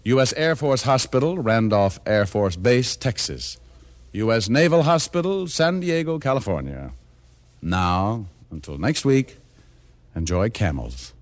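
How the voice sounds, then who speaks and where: A man's voice: medium pitch, animated, unhurried. A man with an American accent reading a sponsor announcement in 1950s radio style. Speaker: radio ad spokesman. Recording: old radio broadcast recording.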